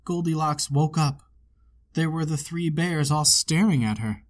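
A man with a slightly high voice narrates a children's storybook. He reads aloud in a measured, expressive storytelling way. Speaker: storybook reader.

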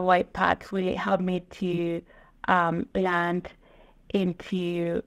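A woman from Spain with a Spanish accent, a guest on a video call interview, speaking thoughtfully with many pauses and ums. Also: on the low side, creaky. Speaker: guest, learning engineer. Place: home room, indoors. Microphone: video call webcam.

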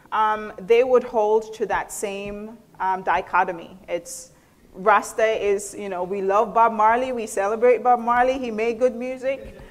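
A middle-aged woman lecturer giving a thoughtful spoken explanation to an audience, often pausing mid-phrase as she picks her words. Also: mid-range voice. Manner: thoughtful, halting, frequent pauses mid-phrase. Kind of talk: explanatory answer to an audience question. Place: indoor hall, speaking to an audience. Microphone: clip-on lapel mic.

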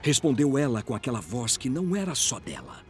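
A man's voice: medium pitch, sing-song, a little fast. A man with a Brazilian accent giving dramatic scripted narration of a fantasy audio drama. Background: music.

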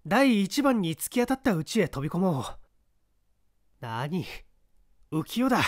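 A man voicing an earnest unemployed young man in a read-aloud mystery, speaking excitedly and pleadingly. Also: a little slow, no echo, clean studio sound. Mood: eager. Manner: excited, pleading, rising pitch.

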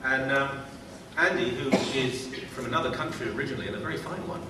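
A man's voice: mid-range voice, fast. A man in his fifties hosting a panel, introducing guests with wry jokes and many fillers. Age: 50s. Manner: wry, self-deprecating, hesitant with fillers. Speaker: panel host. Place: large lecture hall. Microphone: table mic, distant camera. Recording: echoey hall from far off, noisy.

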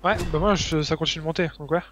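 A man's voice: a little high, quick pace, a bit bright. A young man chatting casually over a co-op video game, slangy and joking with some swearing. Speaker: video game player commentating. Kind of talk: casual co-op let's play chat. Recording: noisy home recording over game sound. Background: video game sounds.

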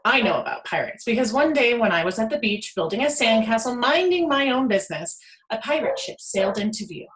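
A woman reading a children's picture book aloud in an expressive storytelling style. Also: on the high side, brisk, rough recording. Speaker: storybook reader.

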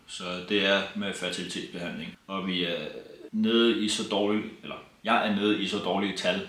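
Low-voiced young man, bright tone, echoey room. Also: fairly even intonation. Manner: plain, open, a bit wry. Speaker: vlogger, partner. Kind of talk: casual personal talk to camera. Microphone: camera on tripod, seated. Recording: roomy echo.

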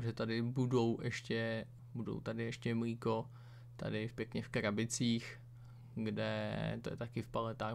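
A man's voice: mid-range voice, gravelly, fairly bright tone. A man casually talking through a web page about a farming game, rambling with many fillers and slang. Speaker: gaming video creator. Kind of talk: casual screen-reading commentary.

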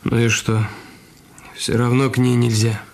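An actor playing a curt, cornered husband with a guilty secret in a radio drama, his voice husky. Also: medium pitch, little pitch movement, a little slow. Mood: irritated, defiant. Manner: curt, brusque. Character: cornered, irritable husband hiding a crime.

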